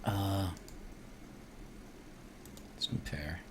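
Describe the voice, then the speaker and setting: A man from Iceland doing casual live gameplay commentary, reading game text aloud and thinking out loud as he mutters to himself. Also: deep voice, steady tone, drawn-out words, background noise. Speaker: video game streamer.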